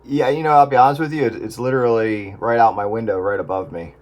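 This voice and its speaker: Clear radio noise surrounds a man with an average-pitched voice. He chats casually about technical matters as a hobby operator, in a halting way with repeated words. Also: fairly even intonation. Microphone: radio transceiver microphone. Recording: noisy radio transmission with static.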